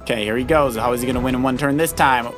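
A man's voice: mid-range voice, rapid-fire. A man giving casual, encouraging gameplay commentary, explaining moves to the player as he goes. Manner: encouraging, instructive. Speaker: co-host of a family Let's Play, the dad. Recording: game music behind the voice. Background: video game music.

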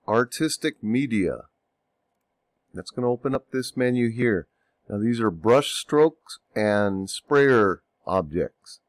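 A man getting over a cold, narrating a software tutorial over a screen recording, unhurried and thinking aloud with ums and self-corrections. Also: lowish voice, no echo, clean studio sound.

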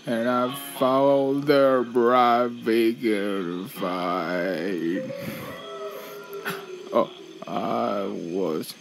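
A woman voicing a big lovelorn male bear who croons mournfully in drawn-out phrases, in an amateur cartoon-style stage show. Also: a bass voice, voice pushed down, heavily acted.

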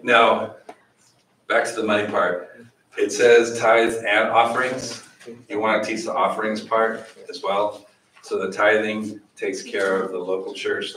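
A middle-aged man teaching a Bible study in a small church room, animated, with questions for the audience. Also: on the low side, slight background noise. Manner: animated, gestures widely, asks the audience questions. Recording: echoey room, voice from far off.

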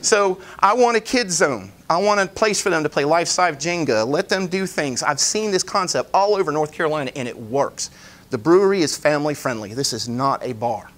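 A man in his forties presenting a business pitch live in a meeting room, plain-spoken, in short emphatic phrases. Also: expressive, fairly quick, a bit bright. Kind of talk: informal live presentation with slides. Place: indoor meeting room, standing at a lectern.

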